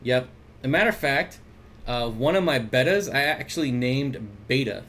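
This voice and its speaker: A man's voice: mid-range voice, expressive, normal speed, a bit bright, a little hiss or hum. Chatty man hosting a fish livestream. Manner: chatty, reads viewer comments aloud, jokey asides. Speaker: aquarium livestream host. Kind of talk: casual livestream chat reading comments. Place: home room with aquariums. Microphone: desk mic at computer.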